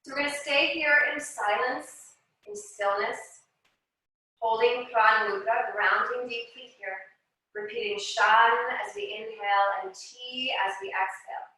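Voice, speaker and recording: Echoey, bright-voiced woman guiding meditation. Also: a little high. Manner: gentle, soothing, unhurried cueing. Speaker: yoga and meditation teacher. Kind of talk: guided meditation instruction. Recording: echoey room, distant microphone.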